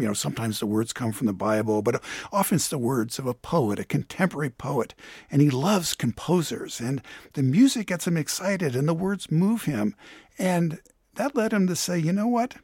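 Husky man with a lively, swooping delivery. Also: fairly high pitch, no room sound, studio quality. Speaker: radio reporter. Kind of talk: conversational radio feature presentation.

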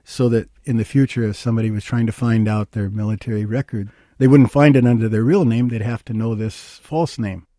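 An older man from Minnesota speaks in a soft-edged, mellow voice. He explains things in a plain, anecdotal way, as a guest in conversation. The sound is dry, with very little room on it. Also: lowish voice, steady tone, fairly quick, hardly any pauses, dry recording. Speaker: interview guest, historical researcher. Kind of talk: conversational interview, storytelling.

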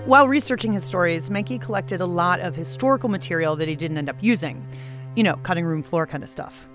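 A woman's voice: lowish voice, quick pace, fairly bright tone. An American woman presents a radio show over light music. She reads scripted narration with a playful touch and theatrical emphasis, dropping in casual asides.

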